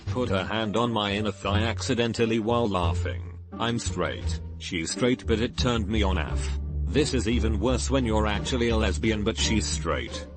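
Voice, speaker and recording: A man reading out online forum posts as a scripted narrator, in an even, synthetic-sounding way. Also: fairly low pitch, moderate pace. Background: music.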